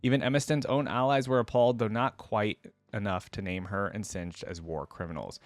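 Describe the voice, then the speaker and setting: A man's voice: on the low side, brisk, no room sound. A man hosting a reaction video, speaking informally, reading aloud and commenting casually into a close mic. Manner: informal, drops in side comments while reading.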